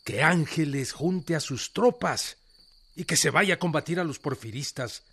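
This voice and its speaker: An actor playing a shrewd, defiant revolutionary peasant general with a gravelly, husky voice in a radio drama. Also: male voice, fairly high pitch, animated, a little slow, fairly bright tone, full character voice. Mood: firm, rousing. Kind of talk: Spanish-language radio drama acting.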